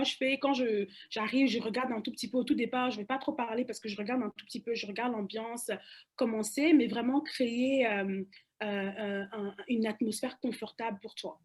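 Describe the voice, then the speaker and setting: Breathy young woman explaining. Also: a little high. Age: young adult. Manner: talks things through with fillers like en fait. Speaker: panel guest. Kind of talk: casual explanation.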